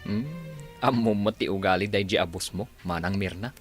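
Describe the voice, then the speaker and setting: An actor with an Ilocano accent playing a blundering, cheeky village driver who blurts and exclaims in a comedy radio drama. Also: male voice, fairly low pitch, moderate pace, music in the background, close to an everyday voice. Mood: protesting, cheeky. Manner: animated, deferential yet blurting, exclaiming.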